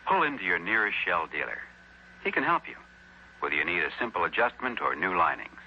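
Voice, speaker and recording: A man's voice: low voice, very animated, fairly quick, highs cut off, slight background noise. A man with an American accent reading a punchy 1960s radio commercial on a vintage recording. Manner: punchy sales delivery.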